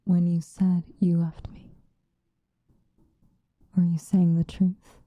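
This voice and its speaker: A woman playing an obsessive, possessive lovesick woman in an intimate, whispered ASMR roleplay, with a breathy voice. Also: fairly low pitch, flat delivery, warm tone, no room sound. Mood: hurt, searching. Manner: slow, intimate, pleading.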